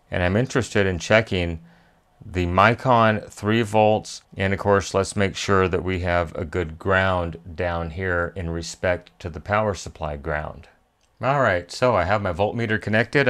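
Male repair-tutorial host, lowish pitch, methodical step-by-step delivery. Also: a bit bright. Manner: methodical, step-by-step, thinking aloud. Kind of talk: hands-on repair tutorial narration. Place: indoor electronics workbench.

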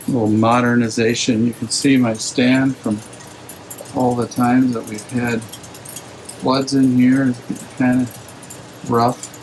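A man's voice: mid-range voice, flat delivery, takes it slowly, long pauses, a little hiss or hum, low-quality recording. A middle-aged man giving a casual, rambling walkthrough of his home fish room, hesitating with lots of fillers, filmed on a handheld phone indoors.

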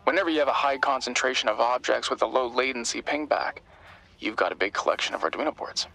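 An actor playing an earnest, caring young tech helper in a video game, eager and explanatory. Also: male voice, medium pitch, quick pace, creaky, pushed and bright. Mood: helpful, focused. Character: earnest, worried young male tech-savvy sidekick. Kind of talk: cinematic game dialogue.

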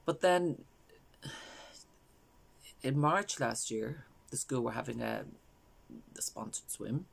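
Woman acting a halting, creaky-voiced Irish mother. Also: very deep voice, expressive, exaggerated voice. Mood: anxious. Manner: halting, confiding, understated. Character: grieving working mother haunted by her loss. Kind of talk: dramatic stage monologue, naturalistic.

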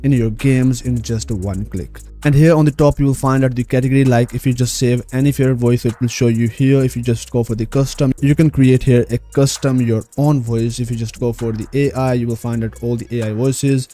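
A man's voice: mid-range voice, steady tone, a little fast, hardly any pauses, dark tone. A man narrating a screen tutorial as host, repeatedly addressing viewers directly. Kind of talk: screen-recorded tutorial voice-over.

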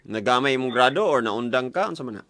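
A man's voice: mid-range voice, lively intonation, quick pace, a bit bright, no echo. A young man with a Filipino accent hosts a casual radio call-in chat, playful and teasing, on a close studio mic. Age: young adult. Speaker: radio call-in show host. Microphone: studio mic on boom arm, close. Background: music playing.

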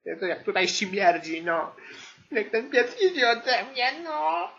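A woman's voice: fairly high pitch, sing-song, slow, vocal fry, a bit bright, lo-fi sound, heavily acted. A woman playing an exasperated female English teacher in an amateur comedy audio sketch, whining and grumbling as she scolds her class. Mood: irritated.

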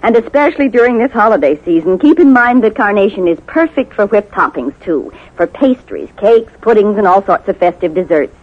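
An American woman reads a scripted radio advertisement in a medium-pitched voice. The vintage fifties recording sounds dull. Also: animated, brisk, hardly any pauses. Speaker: advertising spokeswoman. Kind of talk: scripted 1950s radio commercial. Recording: muffled 1950s radio recording.

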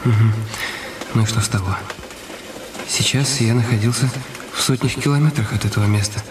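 A man's voice: medium pitch, noisy background, close to an everyday voice. Guy playing a worried calculating husband muttering to himself in a radio drama, kinda far from the mic, roomy. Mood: scheming. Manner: brooding, talking to himself in halting phrases. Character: anxious, calculating husband brooding over his injured wife. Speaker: actor in a radio play. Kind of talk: radio drama acting, inner monologue. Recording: echoey, slightly distant studio sound. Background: music.

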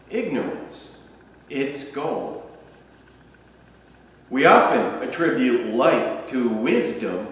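A middle-aged man, a minister, giving a reflective sermon meditation in a slow, measured way, standing in a small room at home. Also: medium pitch. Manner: slow, measured, contemplative delivery with long pauses. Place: small room at home, standing before a patterned wall hanging beside a candle. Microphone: camera a few feet away. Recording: echoey, roomy sound from a distance.

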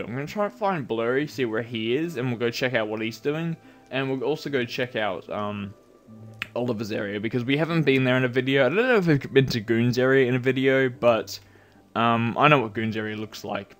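A man giving casual, rambling commentary over a video game while sounding nasal from a cold, with bursts of excited yelps. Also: mid-range voice. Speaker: gameplay commentator.

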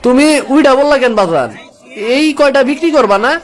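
A man's voice: extremely high pitch, big pitch swings, fairly quick, faint music behind. A man voicing a fisherman's eager, loving young son with a rural Bangladeshi accent in a cartoon. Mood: eager, hungry. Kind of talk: cartoon voice acting.

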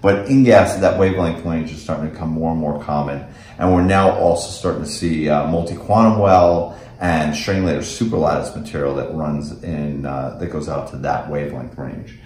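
Male technical presenter, American, middle-aged, very low voice, recorded with plenty of room echo. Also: steady tone, moderate pace, a little mellow. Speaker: company representative presenting technical products. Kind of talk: technical product demo and explanation. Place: indoors in a small office meeting room. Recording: echoey room.

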